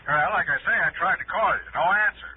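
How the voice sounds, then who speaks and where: A man's voice: on the high side, lively intonation, quick pace, close to an everyday voice. An actor playing a fussy, gossipy insurance man in a 1960s radio drama, chatty and slightly hesitant. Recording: faintly noisy, tinny telephone-like old radio.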